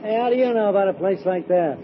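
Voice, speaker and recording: A talkative cab driver, nosy and blunt, with a slightly high voice full of slangy grammar. The old recording is dull, with clear hiss and noise beneath it. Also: male voice, animated, fast. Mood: gabby. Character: chatty working-class male cab driver.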